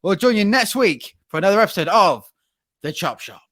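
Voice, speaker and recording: A man hosting a wrestling news podcast, talking in a rambling, halting way with plenty of fillers. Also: high voice, big pitch swings, a bit bright, no room sound, studio quality. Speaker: podcast host. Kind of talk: casual news chat.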